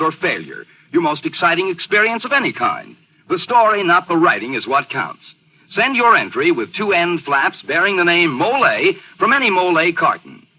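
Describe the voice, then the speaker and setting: A man's voice: fairly high pitch, bright, forceful tone, slightly rough, poor audio. An American man working as an announcer, delivering an emphatic, upbeat 1940s radio commercial. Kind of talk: 1940s radio advertising pitch.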